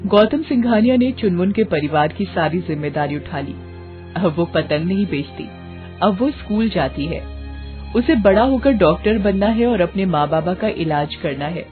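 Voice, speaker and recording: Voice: medium pitch, lively intonation, moderate pace. A narrator telling a moral story in a scripted bedtime-tale style. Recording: phone-like thin sound, music behind.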